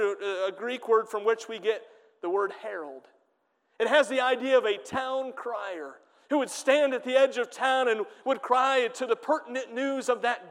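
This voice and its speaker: A man's voice: very high-pitched, fairly bright tone, long reverb tail. A man preaching a sermon with fervent delivery, his voice swelling and dropping as he reads scripture in old Bible wording.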